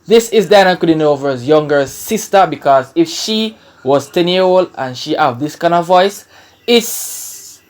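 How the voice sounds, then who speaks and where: Animated Jamaican man hosting reactions. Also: fairly high pitch, normal speed, hardly any pauses. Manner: exclamatory, emphatic, repeats phrases. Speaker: reaction video host. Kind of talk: casual reaction commentary.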